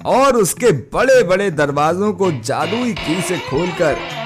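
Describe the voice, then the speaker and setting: A man reading scripted narration for an animated moral story as its storyteller. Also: sits high, takes it slowly, over music.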